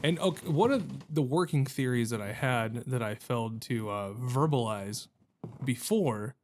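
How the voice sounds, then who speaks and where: A man's voice: medium pitch, slight background noise. A man giving casual let's play commentary on a video game, thinking aloud in a hesitant, self-questioning, rambling way.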